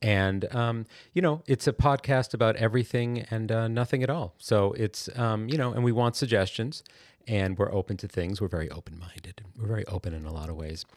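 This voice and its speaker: A man's voice: on the low side, fairly quick, a little mellow, dry recording, clean studio sound. A man co-hosting a podcast, joking and rambling in casual chat. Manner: playful, rambling, jokey. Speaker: podcast co-host.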